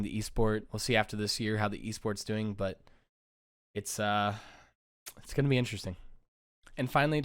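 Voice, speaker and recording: Young male vlogger, low voice, smooth and clear, flat and downcast delivery, dry close mic. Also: no echo. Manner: subdued, low-energy, self-described monotone. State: sad, downcast. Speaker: YouTuber and pro gamer. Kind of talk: casual vlog talk to camera.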